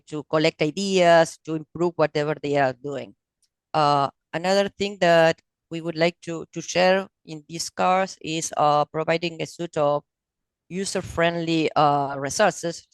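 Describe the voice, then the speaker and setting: A woman gives a prepared talk over a video call. Her voice is smooth and resonant, with a dry, close sound. She halts often, with fillers and restarts. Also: low-pitched, no room sound. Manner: halting, with many fillers and restarts. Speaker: committee member speaking at a meeting.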